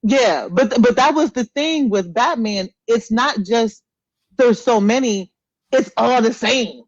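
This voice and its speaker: A woman's voice: fairly high pitch, takes it slowly, dry recording. A woman co-hosting a podcast in casual, opinionated chat, repeating phrases emphatically to drive her points home. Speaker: podcast co-host. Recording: dull, lo-fi close dry audio.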